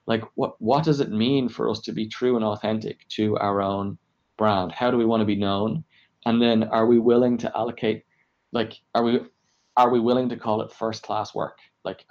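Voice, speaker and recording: A man's voice: on the low side, steady tone, normal speed, darkish tone, highs cut off. A man giving conversational interview answers as an engineering leader, explaining emphatically with lots of "like" and rhetorical questions. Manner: emphatic, filler-heavy, self-questioning explanations with frequent "like". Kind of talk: conversational interview answers about work experience.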